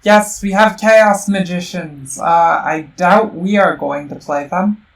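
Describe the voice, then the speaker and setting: A woman streaming a board game, thinking out loud with plenty of fillers and restarts, her voice worn by a sore throat and a little robotic-sounding. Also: lowish voice, takes it slowly, creaky. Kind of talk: casual live-stream game commentary. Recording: roomy, distant mic with faint noise.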